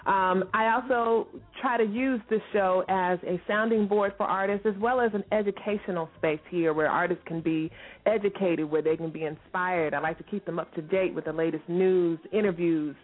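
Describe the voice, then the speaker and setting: A woman's voice: on the low side, steady tone. A middle-aged woman hosting a music talk show, chatty and playful. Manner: playful, chatty, quick to laugh. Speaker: radio show host. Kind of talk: casual talk-show chat. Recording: tinny phone-line sound.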